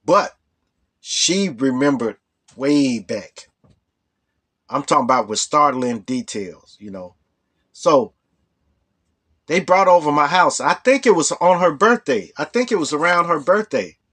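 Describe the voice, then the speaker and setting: A hoarse man with a cold telling a rambling personal story as the host of a storytelling channel. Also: a little high, big pitch swings, a little slow, pauses often, a bit bright. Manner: rambling, full of "you know". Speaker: storytelling vlogger. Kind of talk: casual storytelling.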